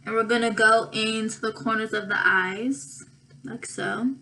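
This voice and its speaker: A young woman with a bright, slightly raspy voice talks her way through a makeup tutorial, pausing often and thinking aloud. Her tone sits a little high for a woman, and a faint room hiss runs underneath. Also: flat delivery. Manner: thinking aloud, drawn-out pauses while applying makeup. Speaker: makeup vlogger. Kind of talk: casual makeup tutorial chat.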